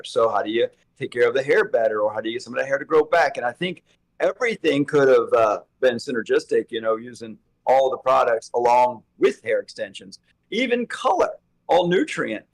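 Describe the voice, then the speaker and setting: A slightly hoarse man co-hosting a relaxed chat. His pitch sits in a middling range, and he rambles, piling up thoughts as they come. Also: brisk, low-quality recording. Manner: rambling, thinks aloud. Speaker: co-host. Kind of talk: casual chat.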